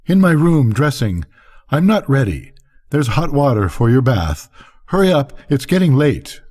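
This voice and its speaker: A man's voice: medium pitch, very animated, measured pace, a little mellow, pitched above the performer's own voice. An older man voicing a proud, wistful ranch wife in a bedtime story, tense and insistent with her questions. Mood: brisk.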